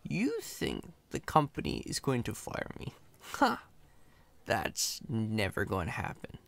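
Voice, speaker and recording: Man acting a chatty, cocky coworker, creaky and animated. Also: lowish voice, slow pace, dry recording, full character voice. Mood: easygoing, friendly. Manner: casual, reassuring, playfully boastful. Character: chatty, cocky, friendly male company employee welcoming newcomer. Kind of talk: ASMR roleplay.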